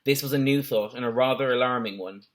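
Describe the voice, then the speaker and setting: A man reading a children's story aloud in an animated way, with playful asides like a teacher. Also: mid-range voice, quick pace.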